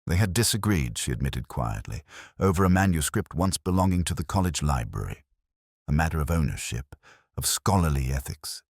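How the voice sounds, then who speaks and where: Very deep, brooding male narrator. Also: big pitch swings, no room sound, clean studio sound. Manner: measured, literary, brooding. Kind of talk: scripted first-person detective story narration.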